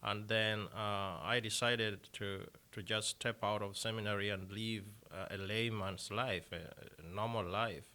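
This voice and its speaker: A man with a Kenyan accent answers interview questions, his voice a bit low but bright and forceful. He talks haltingly, with many fillers and restarts. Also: steady tone. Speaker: deacon, interview guest.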